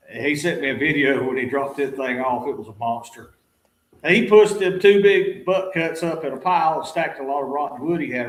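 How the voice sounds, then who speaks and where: A man's voice: fairly high pitch, reverberant, poor audio. A man telling folksy, plain-spoken stories as a guest in a casual chat.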